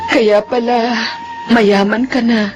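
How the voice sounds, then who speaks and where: A woman's voice: on the high side, level tone, slow, dull audio, over music. An actress with a Filipino accent playing a humble, struggling widowed mother in a radio drama, hesitant and emotional. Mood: humble, moved.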